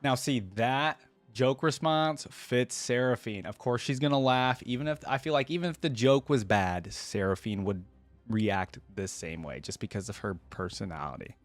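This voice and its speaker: Woman reaction host, super low voice, chill musing casual chat, bit of music in the back. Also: normal speed. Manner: laid-back, musing, echoes lines aloud.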